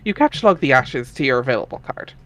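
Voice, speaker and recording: A man's voice: mid-range voice, lively intonation, fast, fairly bright tone. A man reading scripted second-person narration for a webcomic dramatic reading.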